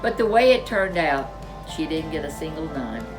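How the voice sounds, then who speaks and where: A woman storyteller reads a children's book with deliberate emphasis. Her voice sits a little low but moves up and down a lot, and she takes her time. Music plays clearly behind her. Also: sing-song, rough recording. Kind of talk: expressive children's storybook narration.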